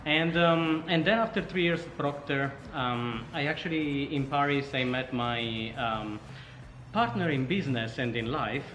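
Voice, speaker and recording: A man's voice: medium pitch, measured pace, fairly bright tone. A man from Sardinia with an Italian accent, casually telling his story as an interview guest. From Sardinia, Italy. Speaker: startup co-founder, interview guest. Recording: echoey room, faint background noise.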